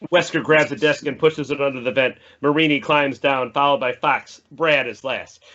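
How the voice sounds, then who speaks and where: A man narrates script directions for a podcast table read. His voice is mid-pitched with a bright, forceful edge. The recording is a little muffled. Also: moderate pace. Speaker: narrator reading stage directions.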